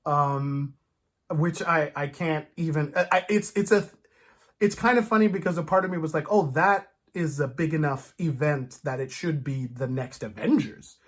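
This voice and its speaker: A man co-hosting a fan discussion in a casual, animated way, often slipping into imagined quotes as he talks. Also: a little high, normal speed. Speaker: co-host of a fan discussion show.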